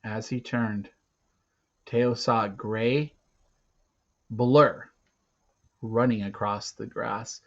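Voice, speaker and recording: A man narrating a storybook aloud theatrically, with dramatic pauses and swings between hushed and loud delivery. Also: on the low side, steady tone, lo-fi sound.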